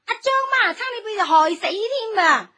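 An actress playing a shrewd, indignant brothel madam who scolds and haggles in a Cantonese radio drama. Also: female voice, very high voice, animated, moderate pace, full character voice. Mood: scornful scolding. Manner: sharp, indignant, exclaiming.

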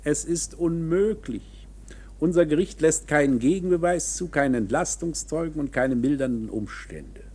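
An actor in a German radio play playing a knowing, coolly matter-of-fact court insider who explains things in a measured, insinuating, officious way. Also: male voice, on the high side, animated, a little mellow, breathy edge. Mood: coolly lecturing.

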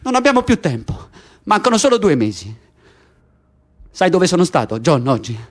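A man's voice: fairly high pitch, big pitch swings, moderate pace. An actor playing a bitter, despairing political prisoner in intense naturalistic radio theatre, insistent and repetitive as he addresses his cellmate. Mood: intense, brooding.

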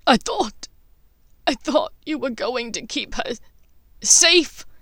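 A desperate young wizard stammers and begs, his words tumbling out in loud, anguished bursts, as a woman reads him. The voice is strained and pleading, recorded close and dry. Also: on the high side, slow, dry recording. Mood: frantic pleading.

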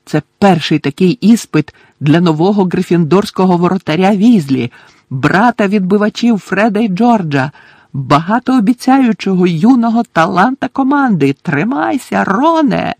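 A man voicing an excited, openly partisan teenage boy commentating on a match in an audiobook, with urgent, shouted delivery. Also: sits high, moderate pace, no echo, studio quality, voice pushed up. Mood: urgent, pleading. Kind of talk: audiobook character voice.

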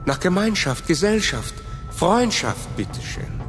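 An actor playing an eager, obsequiously polite giant lab rat who speaks in broken grammar, in a radio drama. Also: male voice, sits high, big pitch swings, a little slow, big-room sound, over music. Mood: wistful.

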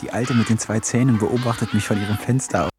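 A man's voice: fairly low pitch, steady tone, quick pace, music in the background, lightly acted. A man playing an eccentric village man who talks to animals, narrating a German radio play in a wry, musing first-person voice. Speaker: narrator and lead character.

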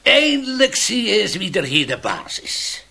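An actor playing a half-drunk, boastful usurper mayor, gloating and defiant in a radio drama. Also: male voice, high-pitched, huge pitch swings, measured pace, gravelly, bright, forceful tone, heavily acted.

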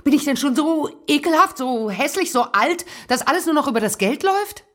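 An actress in a radio drama playing a wealthy, wounded, vengeful middle-aged woman, intense and halting, with a creaky voice. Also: high-pitched, lively intonation, a bit bright. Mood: furious, vengeful. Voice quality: creaky voice, often breaking into whispers.

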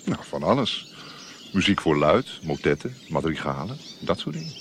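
An actor playing a wry, worldly court musician in a radio drama, speaking in a laconic, knowing manner. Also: male voice, extremely low pitch, normal speed, a little hiss or hum, exaggerated voice. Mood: dryly knowing. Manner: laconic, dry, expressive.

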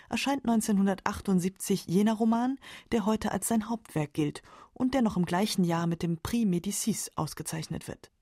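A woman's voice: on the low side, mellow, dry recording, studio quality. A woman narrating a scripted radio documentary in a composed, factual way.

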